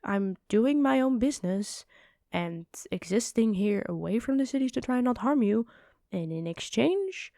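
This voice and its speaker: A kind giant woman, as a voice actress plays her in a fantasy ASMR roleplay. Her voice sits fairly high and is recorded dry, without room sound. She talks courteously and earnestly, with an apologetic, slightly hesitant manner. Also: vocal fry, warm tone, no room sound. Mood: politely reproachful.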